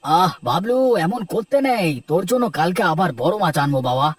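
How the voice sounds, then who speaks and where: A man's voice: high voice, expressive, a little fast, husky, dry recording, studio quality, recorded from a distance. A man voicing a good-natured, generous village husband and father in a cartoon. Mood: soothing. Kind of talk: Bengali cartoon dubbing.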